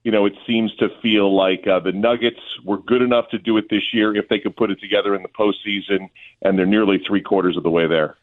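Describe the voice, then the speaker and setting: A man's voice: lowish voice, steady tone, fairly quick. A man guesting as a play-by-play announcer, giving casual sports analysis over a narrow, phone-like connection. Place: remote link from an arena. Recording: narrow tinny phone-like line.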